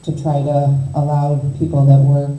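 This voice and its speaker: A speaker with a very dark, mellow tone gently describes a monthly support group, plainly and informatively, with the odd "um" filler. The voice rings in a roomy space on a live-stream recording. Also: medium pitch, monotone, normal speed. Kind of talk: casual community announcement.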